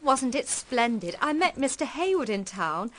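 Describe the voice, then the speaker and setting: Casting: girlish young Englishwoman, period radio play, gushing and carefree, high-pitched, very animated delivery. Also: moderate pace. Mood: gushing, delighted. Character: gushing, carefree young upper-middle-class English daughter. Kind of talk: period British radio drama acting.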